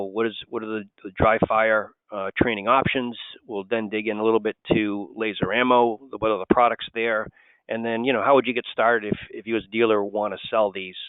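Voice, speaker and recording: A man's voice: lowish voice, clean tone, dry recording. A man hosting a sales webinar in a casual, loose way, full of restarts and filler words. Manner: loose and conversational, many "uh" and restarts. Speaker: host, wholesale company president. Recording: tinny phone-like webinar line.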